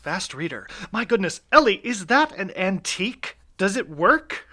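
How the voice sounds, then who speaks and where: Bright, high-voiced man hosting with theatrical flair. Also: very animated, moderate pace. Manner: mock-suspenseful, playful, effusive. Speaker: host, director and adapter of a live audio play. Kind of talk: playful theatrical introduction and backstage narration.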